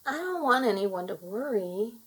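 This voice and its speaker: A woman voicing a shy, sweet young mermaid girl in a storybook, soft and earnest. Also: fairly high pitch, very animated, normal speed, breathy edge, exaggerated voice. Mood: nervous, soft.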